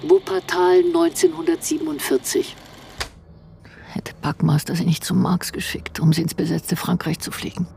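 Radio play casting: female wartime intelligence officer, gravelly, brooding, measured, self-doubting. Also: low-pitched, fairly even intonation, warm tone, slight background noise. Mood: brooding, self-questioning. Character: British female intelligence officer, sombre, doubting, brooding over lost agents. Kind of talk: radio drama acting with inner monologue.

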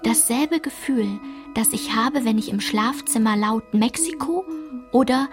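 A woman playing a daughter soberly recounting her childhood in a radio play, measured and restrained, with a breathy, husky voice. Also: on the high side, faint music behind, lightly acted. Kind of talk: literary radio play narration.

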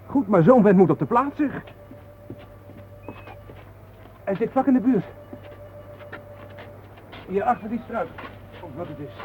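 A male actor in a Dutch radio play, playing a skeptical, excitable photographer who talks bluntly and colloquially, full of questions. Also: high voice. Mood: startled, agitated. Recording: noisy, thin phone-like old radio recording.

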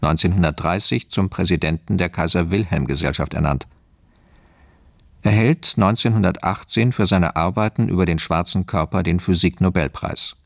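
An actor playing a formal official voice, reading a dossier in dry, factual narration for a radio drama. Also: male voice, low voice, normal speed, vocal fry, no echo.

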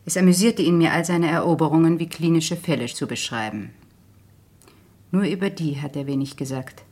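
An actress playing a bitter but composed betrayed lover in a German radio crime drama, speaking with restraint. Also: female voice, low-pitched, normal speed, a little mellow.

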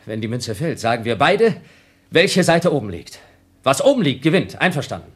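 An actor playing a scheming, desperate crewman on a space station in a German radio play, husky and insistent. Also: medium pitch, big pitch swings, normal speed, natural voice. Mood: grimly calm. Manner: domineering, theatrical, insistent.

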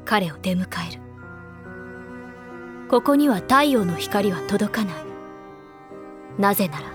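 A woman performing solemn, dramatic narration of a ghostly tale in measured short phrases. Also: mid-range voice.

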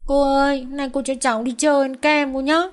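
A woman's voice: high-pitched, flat delivery, a little fast, voice pushed up, natural voice. A woman voicing a small, cheerful, clingy girl who speaks in a coaxing, pleading way. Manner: coaxing, pleading child speech.

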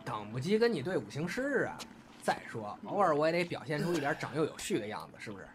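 An actor playing a playful, love-struck young man, teasing and excitable, with a northern Chinese accent in an audio drama. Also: on the high side, fast talker, soft background music. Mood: playful, defensive. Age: young adult.

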